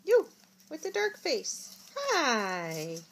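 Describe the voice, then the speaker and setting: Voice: high-pitched, wildly swooping pitch, extremely slow, a bit bright. A person cooing in sing-song baby talk to puppies, repeating short affectionate phrases. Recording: noisy home recording, whimpering puppies. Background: whimpering puppies, clicks.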